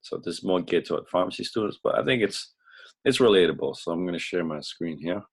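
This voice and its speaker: A man who sounds sick, with a crackling, hoarse voice, giving an informal screen-share lecture in a halting, self-correcting way as host and instructor. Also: sits low, expressive. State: under the weather, sick.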